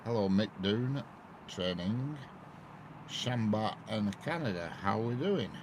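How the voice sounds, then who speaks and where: A man's voice: lowish voice, animated, takes it slowly. A man with a Lancashire accent chatting casually on a live stream, greeting viewers by name and laughing often. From northwest England. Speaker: railway livestreamer. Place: outdoors by a railway line. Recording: noisy outdoor live stream.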